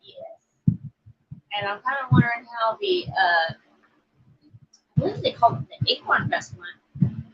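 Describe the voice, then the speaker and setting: A woman's voice: fairly high pitch, animated, takes it slowly, long pauses, fairly bright tone, slightly rough, dull audio. A woman from Oklahoma co-hosting a casual livestream, rambling and reading viewer comments aloud. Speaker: livestream co-host.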